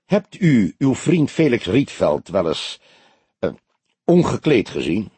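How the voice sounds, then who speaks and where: A husky old male detective, voiced by an audiobook reader, patient and probing. He speaks in measured questions with dry mockery and a lively, varied pitch, recorded close and dry. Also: dry recording, exaggerated voice. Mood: careful. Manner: measured, probing, dryly mocking. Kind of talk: audiobook character dialogue.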